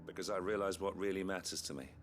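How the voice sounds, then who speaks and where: An earnest, loyal army captain voiced by a man in a fantasy video game. His voice is very low, his manner plain-spoken, sincere and deferential. Music plays clearly behind him. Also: steady tone, fast, close to an everyday voice. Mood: resolute. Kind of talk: scripted game dialogue.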